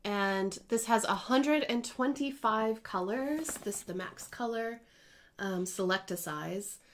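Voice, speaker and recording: A woman's voice: fairly high pitch, slow pace. A woman in her thirties talking casually at home about her cross-stitch projects, in a chatty, rambling way with small self-corrections. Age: 30s. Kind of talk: casual chatty crafting vlog.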